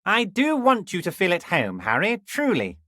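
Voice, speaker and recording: A man's voice: sits high, wildly swooping pitch, moderate pace, sharp tone, dry recording, heavily acted. Sly folksy boss man in a game, lilting all over, patronizing, dead dry sound. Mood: sly, jovial. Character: smooth, scheming, jovial male union boss. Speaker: video game character. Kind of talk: game dialogue voice acting.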